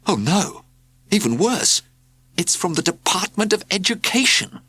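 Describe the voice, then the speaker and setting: A man's voice: high-pitched, big pitch swings, measured pace, pushed and bright, slightly rough, no echo, pitched above the performer's own voice, full character voice. Man doing a flustered wizard teacher. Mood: alarmed. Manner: commanding, anxious, gloomy. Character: flustered male wizard schoolteacher, dramatic.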